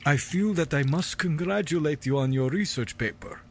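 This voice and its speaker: A man playing a guarded, learned university professor in an audio drama, speaking formally and with measured courtesy. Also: a little high, background noise, close to an everyday voice. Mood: courteous. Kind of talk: scripted audio drama acting.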